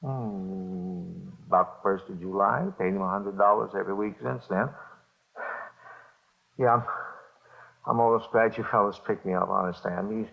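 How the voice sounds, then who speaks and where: An American man playing a scared small-time bookmaker under questioning, halting and repeating himself in 1950s radio style. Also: sits low, fairly even intonation, gravelly. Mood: weary, scared. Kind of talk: 1950s radio drama acting. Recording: dull vintage radio recording.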